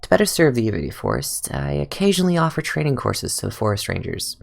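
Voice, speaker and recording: Fan game dub: a young man as a calm, sardonic fox-eared ranger scholar with a gravelly, fry-laden tone. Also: lowish voice, fast talker, heavily acted. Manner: dry, sardonic, with soft musing asides. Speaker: fan voice actor. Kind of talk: fan redub of game voice lines.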